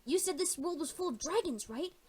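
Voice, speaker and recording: A woman's voice: very high-pitched, a little fast, voice pushed up. A woman voicing an eager, curious young male dragon in a storybook tale. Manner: excited, inquisitive.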